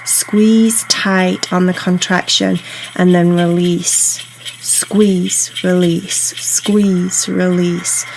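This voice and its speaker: A woman guiding a meditation in a soothing, unhurried, instructional way, leaving pauses between phrases. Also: on the low side, level tone, a little hiss or hum, poor audio. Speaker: meditation guide. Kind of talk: guided meditation narration. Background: soft ambient meditation music.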